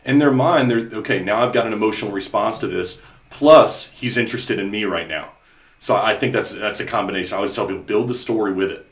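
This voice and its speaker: A man's voice: on the low side, fast talker, reverberant. A male podcast host in casual coaching chat, earnest and repetitive, often saying "you know". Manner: earnest, repeats himself, many "you know"s.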